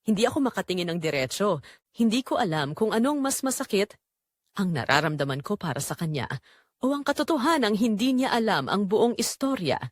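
A guilt-ridden young woman, played by a voice actress, tells of forbidden love as an audio drama confession. Her mid-pitched voice moves expressively up and down, full of emotion. The sound is dry and close, with no room around it. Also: big pitch swings, brisk, dry recording. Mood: anxious longing. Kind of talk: dramatic first-person audio drama narration in Tagalog.

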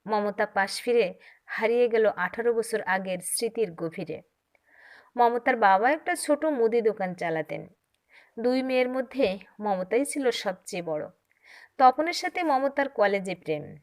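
A woman narrating a story in an expressive, dramatic audiobook style. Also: fairly high pitch, unhurried. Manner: dramatic, acts out dialogue.

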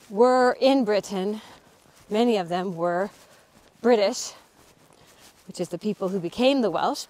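A woman's voice: medium pitch, darkish tone. A middle-aged woman hosting a casual walking talk outdoors, explaining history with frequent ums. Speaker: author and channel host. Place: outdoors on a cold hillside.

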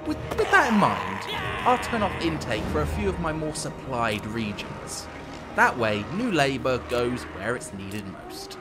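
Theatrical man narrating with very animated pitch. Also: unhurried, over loud music. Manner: wry, theatrical, playfully villainous. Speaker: game showcase narrator. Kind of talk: scripted strategy game narration, tongue-in-cheek and in character.

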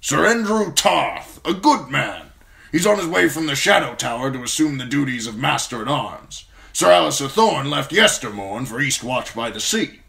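A man voicing a blunt, gruff old military lord who gives brusque orders, in an audiobook reading. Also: mid-range voice, animated, moderate pace, bright, forceful tone, pitched above the performer's own voice.

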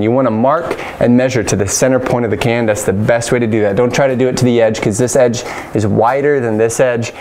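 An American man in his thirties, an electrician giving informal, matter-of-fact hands-on instruction in an unfinished basement. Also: medium pitch. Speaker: electrician presenting a how-to tutorial. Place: unfinished basement with exposed joists. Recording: clearly noisy room ambience.

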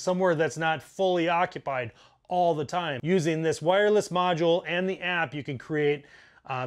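A man in his forties, a plumber, casually talking through an installation step by step in a basement utility room. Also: a little high. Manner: practical, walks through steps out loud. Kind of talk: casual how-to demonstration.

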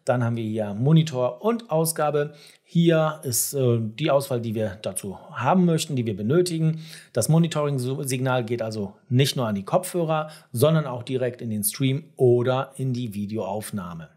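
Slightly husky man giving a tutorial. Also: medium pitch, normal speed, darkish tone. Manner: direct, instructive, addressing the viewer. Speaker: tutorial host. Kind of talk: software tutorial, conversational step-by-step explanation. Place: small home studio room, indoors.

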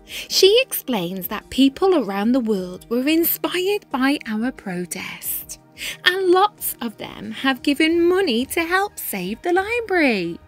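A woman reading a children's picture book aloud in an expressive, animated manner with dramatic pauses and emphasis. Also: high-pitched, soft background music. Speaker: read-aloud storyteller, teacher-style reader.

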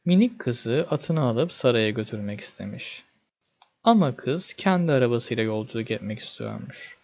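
Male storyteller for fairy-tale narration, expressive and dramatic, very animated pitch, mid register. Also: moderate pace, highs cut off.

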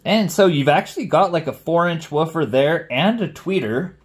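Guy doing a laid-out unboxing review, kinda high voice, slangy and informal. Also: expressive, normal speed. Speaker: product reviewer. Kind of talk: casual unboxing commentary. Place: small indoor room with shelves of speakers.

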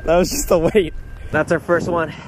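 A man's voice: on the high side, flat delivery, normal speed, poor audio. A young man fishing from a riverside dock, chatting casually, laughing often and explaining tips to viewers, recorded on a body-worn action camera. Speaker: angler filming the outing. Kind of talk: casual outdoor fishing chat. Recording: outdoor camera audio, noise behind.

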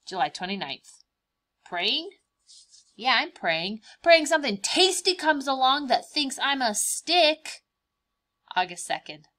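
A woman voicing a cheerful, boastful young praying mantis in a picture-book read-aloud, expressive and emphatic, stretching out words. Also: high voice, slow, pitched above the performer's own voice, heavily acted. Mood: cheerful, dramatic.

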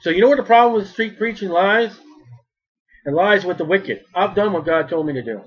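A man preaching a sermon as a street preacher, with a big loud voice and emphatic delivery that swells in volume. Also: sits high, lively intonation, brisk, breathy edge, highs cut off.

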